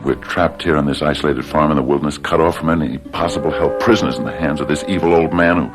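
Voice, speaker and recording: An actor playing an anxious, overworked young husband trapped on a farm, emotional and desperate, in a radio play. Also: male voice, rumbling low, quick pace, music in the background. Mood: despairing.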